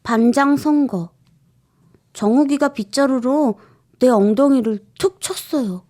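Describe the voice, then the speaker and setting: A woman voicing an aggrieved young schoolboy who plaintively narrates his own troubles in expressive storybook style. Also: high-pitched, unhurried. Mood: aggrieved storytelling.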